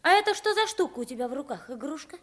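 A woman's voice: high-pitched, very animated, quick pace, fairly bright tone. An actress playing a naive, frank young pauper boy in a radio play. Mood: curious, impatient. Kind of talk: Russian radio play acting. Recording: old 1950s radio recording.

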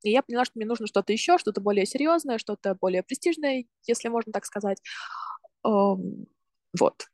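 A woman's voice: a little high, expressive, a little mellow, dry recording. Thoughtful young woman, dry close sound. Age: 20s. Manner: thoughtful, explains step by step, often pauses to rephrase. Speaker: podcast guest, university student. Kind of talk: casual explanatory talk.

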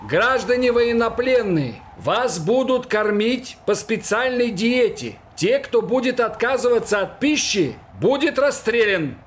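A man playing a brisk young military doctor, a senior lieutenant with clipped commands, in a radio play. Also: high voice, slight background noise. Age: young adult.

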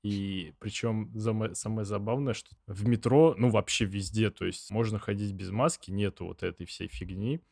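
A young man with a slightly hoarse voice, chatting casually as a podcast co-host in slangy, sweary, rambling talk. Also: on the low side, fairly even intonation, moderate pace, hardly any pauses, a little mellow, dry recording, studio quality. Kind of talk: casual chat with heavy swearing.

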